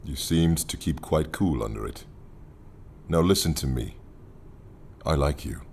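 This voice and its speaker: An actor playing an imposing, mocking male leader of an anarchist council in a radio drama, husky, commanding and theatrically courteous. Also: extremely low pitch, measured pace, darkish tone, slight background noise. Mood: calm menace.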